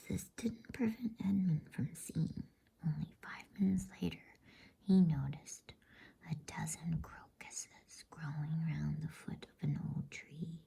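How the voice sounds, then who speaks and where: A woman reading softly in a breathy whisper, in a soothing, unhurried ASMR bedtime story style. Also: low voice. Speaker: host and storybook reader.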